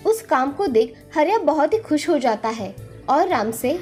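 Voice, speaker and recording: A woman storyteller narrates a children's tale in a high voice. Soft music plays faintly behind her scripted reading. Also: sing-song, normal speed.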